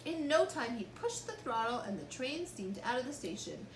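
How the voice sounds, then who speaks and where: A woman reading a storybook aloud to young children in an expressive, teacherly way, pausing to explain and ask questions. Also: a little high, far from the mic. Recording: roomy echo, clear background noise.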